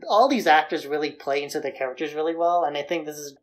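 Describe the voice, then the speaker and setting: A man's voice: fairly high pitch, fast talker. Man casually co-hosting a film podcast. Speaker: podcast co-host.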